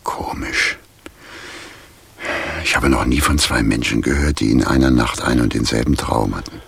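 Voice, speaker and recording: An actor playing a drunken, crude, sardonic homeless tramp in a radio play, with a rasping voice and a theatrical delivery that swings between muttering and outcry. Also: male voice, extremely low pitch, normal speed, fairly bright tone, no room sound, heavily acted. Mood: sly, muttering. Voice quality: very gravelly, rasping. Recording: noisy 1980s radio play recording.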